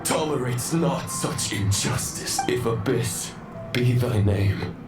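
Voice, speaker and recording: A grave, chivalrous knight of a fantasy game, played by a man, swearing oaths with slow, formal weight. His medium-pitched voice carries bitter conviction. It sounds distant and echoing over prominent music. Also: natural voice. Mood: solemn resolve. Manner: grave, formal oath-making delivery. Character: solemn chivalrous knight turning bitterly against injustice. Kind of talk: dramatic video game acting.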